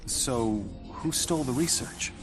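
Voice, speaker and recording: Actor playing inquisitive male visitor, medium pitch, restrained, short probing phrases, dramatic video game dialogue. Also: unhurried, music in the background, natural voice. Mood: curious, probing.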